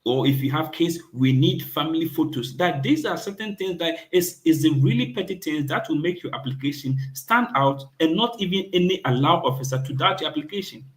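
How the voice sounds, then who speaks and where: A man's voice: fairly high pitch, animated, a little fast, hardly any pauses, poor audio. A man hosting a casual chat, jumping in with asides and quoting people's excuses. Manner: chatty, interjects with asides and quoted examples.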